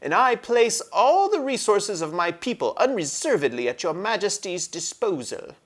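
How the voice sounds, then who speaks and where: Man doing a high-pitched, gallant little mouse. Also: sing-song, normal speed. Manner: courtly, formal, high-pitched. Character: small, dashing, martial male talking mouse, gallant.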